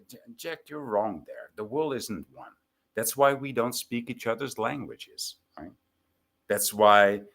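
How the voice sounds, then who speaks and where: A man's voice: lowish voice, fairly bright tone. A man whose first language is Dutch, arguing insistently in an informal live debate. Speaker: debate panelist.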